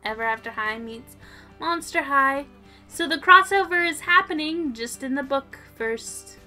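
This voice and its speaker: A woman's voice: high-pitched, animated, a little slow, sharp tone, faint music behind. A woman co-hosting a toy show in casual, informal chat, thinking aloud as she goes.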